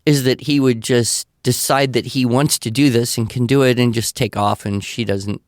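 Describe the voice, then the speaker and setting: A man's voice: mid-range voice, steady tone, normal speed, dry recording, studio quality. An older man answering questions in a conversational, didactic way, thinking aloud and explaining with examples. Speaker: podcast guest expert answering listener questions.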